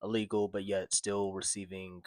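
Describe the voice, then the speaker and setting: A young adult man co-hosting a podcast, speaking in a hesitant way with many fillers, his sentences trailing off quietly. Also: deep voice, little pitch movement, moderate pace, no room sound. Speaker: podcast co-host. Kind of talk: casual podcast discussion.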